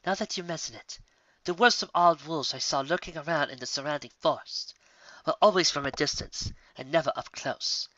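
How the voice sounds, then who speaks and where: A haughty pony princess of the night, played by a man in a read-aloud fan story. The voice is raised high and sharp, with imperious, theatrical delivery and stiff old-fashioned wording. The recording is dry and somewhat dull. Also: normal speed, no room sound. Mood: pensive.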